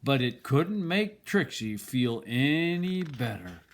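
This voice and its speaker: Slow, expressively swooping man reading a storybook. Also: mid-range voice, slight background noise. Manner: deliberate, expressive storytelling with dramatic pauses. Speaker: storybook reader. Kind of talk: children's picture book read-aloud.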